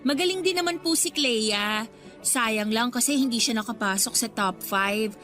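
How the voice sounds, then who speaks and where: A woman's voice: fairly high pitch, moderate pace, pushed and bright, faint music behind. A woman playing a confident, ambitious teenage schoolgirl with a Filipino accent in a radio drama, perky and a bit smug. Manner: perky, self-assured, smug.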